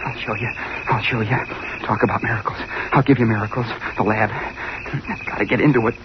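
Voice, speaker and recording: A man's voice: lowish voice, steady tone, normal speed, lightly acted. A man playing an obsessive, unhinged young college student in an old-time radio horror drama, intense, hesitant and repeating himself. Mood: frenzied. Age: young adult. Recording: dull, noisy old radio recording.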